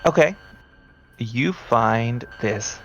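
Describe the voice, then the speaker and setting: A man running a tabletop horror game as game master, talking in a halting, conversational way. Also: medium pitch, expressive, fairly bright tone.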